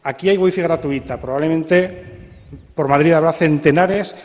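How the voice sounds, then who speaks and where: A man's voice: fairly high pitch, moderate pace. A man answering questions as a congress speaker, assertive and argumentative, explaining with rhetorical questions. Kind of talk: spontaneous panel answer. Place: large indoor congress hall. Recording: echoey hall, long reverb, dull sound.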